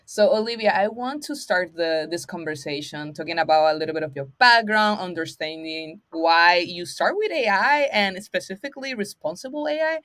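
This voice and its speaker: A woman's voice: fairly low pitch, animated, moderate pace, few pauses, a bit bright, no room sound. Enthusiastic woman host, dry sound. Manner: enthusiastic, laughs often, non-native phrasing. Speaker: podcast host. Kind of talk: casual interview hosting.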